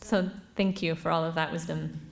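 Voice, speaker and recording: A woman's voice: low voice, flat delivery, normal speed, creaky, slight background noise. A woman moderating a panel discussion, who sums up what speakers said and affirms them. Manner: affirming, paraphrases speakers' points back.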